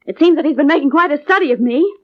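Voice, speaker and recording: An actress playing a defensive, pleading young widow in a 1950s radio crime drama, emphatic and indignant. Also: female voice, high voice, lively intonation, racing. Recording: muffled old radio recording.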